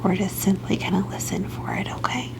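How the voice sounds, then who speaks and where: A woman in a whispered ASMR roleplay as a gentle, reassuring doctor, with a soft, breathy delivery. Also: medium pitch, a little fast. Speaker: ASMR performer playing a doctor.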